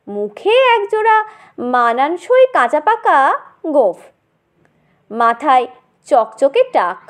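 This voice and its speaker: A woman narrating a comic audio story in an expressive, theatrical way. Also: sits high.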